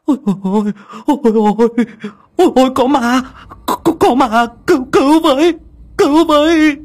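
A man's voice: medium pitch, sing-song, measured pace, slightly rough. A man voicing a frightened young male servant who stammers and cries out. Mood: panicked.

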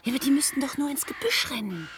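A woman's voice: high voice, lively intonation, fast, noisy background. Dreamy, whispering woman in radio drama. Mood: anxious, frightened. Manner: breathy half-whispers, wistful. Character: dreamy, anxious woman in a futuristic paradise park. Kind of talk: German science-fiction radio play acting.

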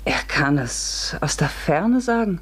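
Low-pitched, curious young lady visitor. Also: big pitch swings, slow pace, husky, heavily acted. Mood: bewildered. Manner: polite, questioning. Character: curious, polite unmarried woman visitor, sceptical but unsettled. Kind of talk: German radio drama acting.

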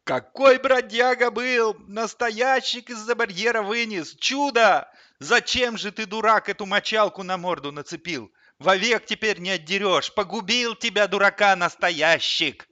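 A hapless henchman, whiny and unsure of himself played by a male narrator, in a comic storybook. The voice is bright and tense, sliding from hesitant mumbling into wailing laments, with a very dry sound. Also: mid-range voice, normal speed, no echo, muffled recording, natural voice. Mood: wild, wailing. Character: hapless, whiny gangster henchman nicknamed Hamster.

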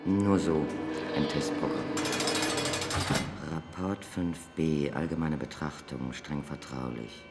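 An actress playing a cool, ambitious state prosecutor in a German radio drama, formal and guarded. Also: female voice, very deep voice, noisy background, exaggerated voice. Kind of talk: German radio drama acting, dialogue and dictated reports.

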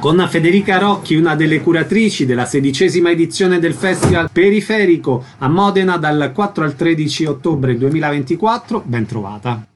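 A man's voice: on the high side, expressive, fairly quick, hardly any pauses, over music. A man hosting a radio interview, putting questions to guests and making announcements.

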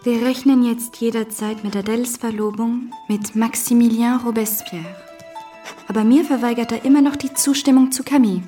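A woman's voice: on the high side, moderate pace, warm tone, lightly acted. An actress playing a wistful young unmarried woman confiding in her diary in a radio drama, slightly distant from the microphone. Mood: wistful musing. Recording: distant from mic, music behind.

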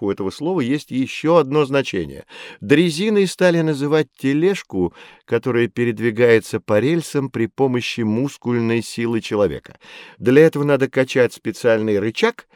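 A man plays a knowledgeable teacher guiding children in an educational audio drama. His delivery is highly varied in melody and patiently didactic, at a middling pitch, in a very dry recording. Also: dry recording, lightly acted. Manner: didactic, expressive storytelling.

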